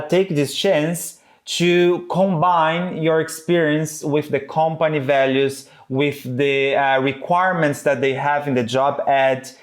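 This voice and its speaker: Higher-voiced Brazilian man giving career guidance. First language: Portuguese. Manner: non-native English phrasing. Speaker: career coach, co-host. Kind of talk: explanatory video guide to camera.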